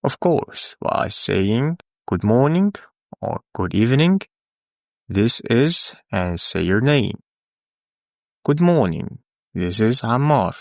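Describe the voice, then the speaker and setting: A man who is a native Arabic speaker, teaching English in a scripted, deliberate, instructional lesson style. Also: mid-range voice, big pitch swings, vocal fry, a little mellow, no echo, studio quality. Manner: deliberate, instructional, with pauses for the learner. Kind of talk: scripted language lesson, repeat-after-me drills.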